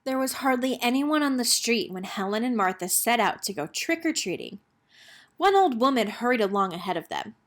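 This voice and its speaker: A woman narrates a children's picture book in a fairly high voice. Her pitch moves widely, giving the scripted reading an expressive, storytelling lilt. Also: moderate pace. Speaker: read-aloud storyteller.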